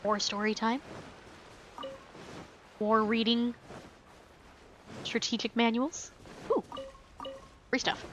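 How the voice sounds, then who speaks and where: A woman's voice: fairly high pitch, fairly even intonation, slow, pauses often, fairly bright tone, slight background noise. A woman livestreaming a game, chatting casually and cutely to her viewers as she plays. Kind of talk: casual livestream chat while playing.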